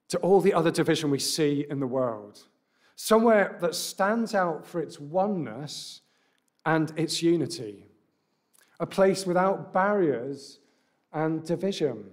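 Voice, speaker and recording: A man, preaching a sermon at a lectern mic in a church hall, a little croaky from a cough, talking conversationally with rhetorical questions. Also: fairly high pitch. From England. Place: large church hall with brick wall, indoors. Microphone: stand mic at a lectern.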